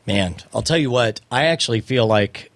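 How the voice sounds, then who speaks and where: A man's voice: medium pitch, expressive, moderate pace, dry recording. A man chatting casually as a podcast co-host, joking with theatrical turns of phrase. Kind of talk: casual chat.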